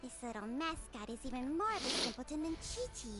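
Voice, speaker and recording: A woman's voice: high voice, sing-song, a little fast, over music. A woman voicing a haughty, eloquent talking snake in a video game, formal and scornful. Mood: disdainful.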